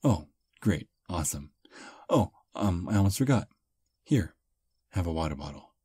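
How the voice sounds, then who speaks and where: A man voicing a friendly 215-year-old male vampire on a first date in an ASMR roleplay, soft-spoken and slightly halting. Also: low-pitched, very animated, slightly rough, dry recording, studio quality, heavily acted. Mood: easygoing.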